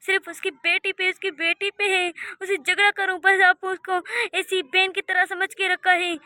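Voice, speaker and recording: A woman's voice: very high-pitched, little pitch movement, normal speed, pushed and bright, no echo, heavily acted. A woman playing a scheming mother who coaxes her grown daughter into quarrels, in a children's cartoon story. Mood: urging. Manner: instigating, coaxing.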